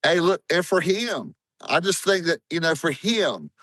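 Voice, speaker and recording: A middle-aged man chatting casually about sports on a podcast, telling animated stories with plenty of swearing and slangy dude-and-bro talk. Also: fairly high pitch, measured pace, no echo. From Hawaii. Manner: animated storytelling, profane, says "dude" and "bro" often. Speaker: podcast guest.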